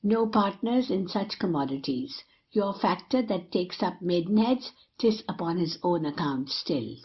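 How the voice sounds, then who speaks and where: Low-voiced scheming young rake played by a woman. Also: expressive, unhurried, muffled recording. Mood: cynical. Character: cynical, scheming young male rake in Restoration comedy. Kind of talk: period stage comedy acting.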